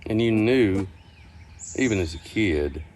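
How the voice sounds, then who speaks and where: An older man reminiscing casually, telling stories in a folksy, unhurried, halting way. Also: on the low side, lively intonation, darkish tone. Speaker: storyteller. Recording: outdoor ambience with birdsong behind. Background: birdsong, outdoor ambience.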